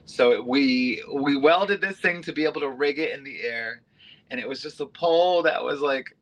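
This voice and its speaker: A man's voice: medium pitch, moderate pace, dull audio. Guy telling wild stories, says like constantly, laughs a lot, very casual. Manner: loose and animated, with filler "like" and frequent laughter. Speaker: interview guest, aerialist and go-go dancer. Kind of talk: casual storytelling chat.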